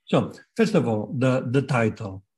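Older catalan guy lecturing over a webcam, real slow, lots of uhs and restarts. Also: mid-range voice, big pitch swings, darkish tone. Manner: slow, hesitant, many fillers and restarts. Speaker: guest lecturer. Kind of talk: lecture by video call. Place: home study with bookshelves. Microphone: laptop webcam on video call.

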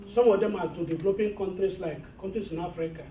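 A man's voice: high voice, steady tone, fast, warm tone, slight background noise. Earnest Nigerian-born man giving advice. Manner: earnest, advising. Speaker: business owner addressing fellows. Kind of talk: speech at a networking event. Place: hotel meeting room. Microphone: handheld mic. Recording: echoey room, mic from far off.

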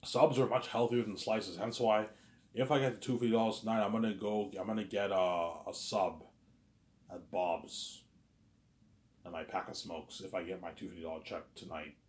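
A man's voice: fairly low pitch, fairly even intonation, moderate pace, darkish tone, reverberant. A man in his thirties rambling casually in a monologue to his viewers, repeating himself and stumbling over words. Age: 30s. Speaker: vlogger.

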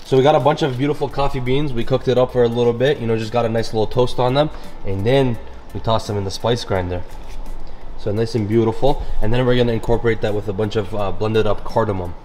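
A man's voice: mid-range voice, fairly even intonation, quick pace, darkish tone, soft background music. A young man chatting through a cooking session in a big home kitchen, upbeat and gushing about the food. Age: young adult. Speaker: cooking vlogger. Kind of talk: casual cooking commentary.

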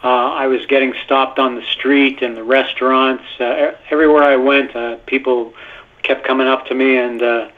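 A man's voice: mid-range voice, level tone, normal speed. A man from Canada, a guest actor, telling stories in a rambling way full of fillers. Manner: rambling, filler-heavy storytelling. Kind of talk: casual phone-in interview. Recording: tinny phone line.

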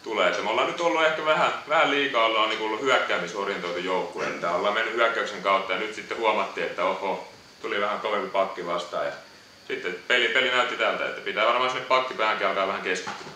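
A basketball player answering postgame questions, hesitant and repeating words. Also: male voice, lowish voice, sharp tone, a little hiss or hum. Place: echoey press room. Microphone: distant room mic. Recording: echoey room audio from far off.

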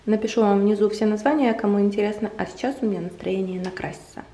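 A young woman chatting to the camera at home, enthusiastic and talkative, with drawn-out hesitations. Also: medium pitch, dark tone, faint music behind. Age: young adult. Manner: chatty, enthusiastic about cosmetics, drawn-out fillers. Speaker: beauty vlogger. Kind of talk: casual chat to camera. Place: home room indoors.

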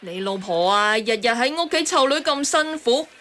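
A woman's voice: fairly high pitch, animated, moderate pace, sharp tone. An actress playing an outspoken, sensible woman in a radio drama, chiding and explaining in a Hong Kong Cantonese accent. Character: outspoken, sensible woman who scolds gently.

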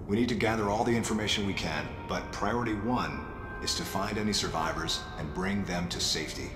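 An actor playing a stern, measured American military commander in a video game, speaking with clipped, formal authority. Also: male voice, low-pitched, reverberant, lightly acted. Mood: grave.

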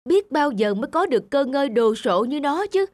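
A man's voice: extremely high pitch, very animated, fairly quick, no room sound. A man voicing a discontented young husband in a cartoon, envious, grumbling and easily irritated. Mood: discouraged, envious. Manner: grumbling, complaining. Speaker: voice actor. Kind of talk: cartoon dialogue dub.

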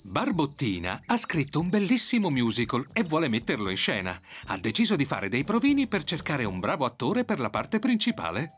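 Animated male storyteller for children. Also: mid-range voice, brisk, hardly any pauses. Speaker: cartoon narrator. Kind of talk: scripted children's story narration. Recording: music behind the voice.

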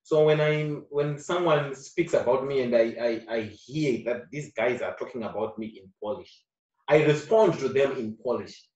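Earnest man, recorded from afar. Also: normal speed. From Zimbabwe. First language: Shona. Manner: earnest, repeats phrases, animated rises in pitch and loudness. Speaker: actor being interviewed. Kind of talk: casual interview talk. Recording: roomy distant dull sound.

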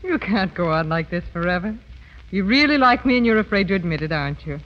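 A woman's voice: lowish voice, animated, quick pace, close to an everyday voice. An actress playing a haughty, spoiled young society woman, cool and needling, in 1930s radio comedy style. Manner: cool, needling, self-assured. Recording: muffled vintage radio broadcast.

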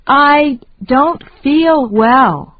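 A woman reading short phrases slowly and deliberately for learners to repeat. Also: high voice, narrow, tinny audio, a little hiss or hum. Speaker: English lesson reader.

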